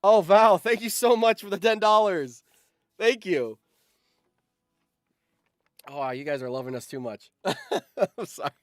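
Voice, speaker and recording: A man's voice: sits high, lively intonation, measured pace, long pauses, no room sound. A young man hosting a casual livestream, talking in a rambling way that often trails off, close to the mic. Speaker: streamer and host.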